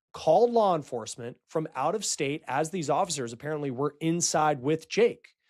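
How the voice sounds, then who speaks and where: A man hosting a true-crime report, explaining a case in a storytelling news style with dramatic, emphatic pauses. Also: a little high. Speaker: true-crime show host.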